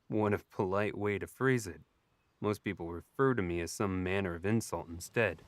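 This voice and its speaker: A young elf lord played by a man speaks in a very deep voice, dry and sardonic. He sounds abrasive and aristocratic, in a close, dry audio drama recording. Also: moderate pace, no room sound, close to an everyday voice. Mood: wry, unimpressed. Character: wry, abrasive young elf noble, secretly divine.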